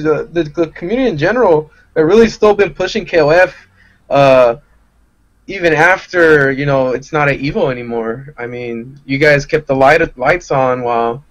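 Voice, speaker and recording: A man's voice: medium pitch, lo-fi sound. A man with a mid-range voice speaks as a guest on a live podcast. His talk is informal and earnest, like casual conversation.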